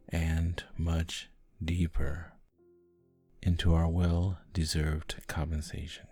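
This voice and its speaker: A man guiding a sleep hypnosis in a soft, calming, gentle voice with long pauses between short phrases. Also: sits low. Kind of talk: guided sleep hypnosis, soothing narration. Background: background music.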